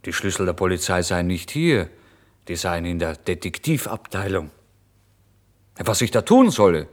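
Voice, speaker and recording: An actor voicing a brisk, officious male police officer on night duty, clipped and emphatic, in a radio story. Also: low-pitched, sing-song. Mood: brusque, official.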